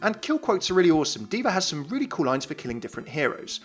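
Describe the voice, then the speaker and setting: A man's voice: fairly high pitch, sing-song, fast talker, faint music behind. A man narrating a scripted gaming video as its presenter.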